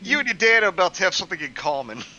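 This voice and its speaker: A man with a very bright, sharp voice throws out playful, crude banter as a co-host. His pitch swings a lot, and faint noise sits behind him. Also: sing-song, rapid-fire, muffled recording. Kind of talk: casual comedic banter and reading aloud.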